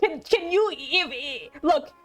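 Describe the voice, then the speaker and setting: A woman live-streaming a game in a fairly high voice. She chats casually and playfully, rambling into mock-indignant asides, with some music behind her. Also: expressive, slow pace.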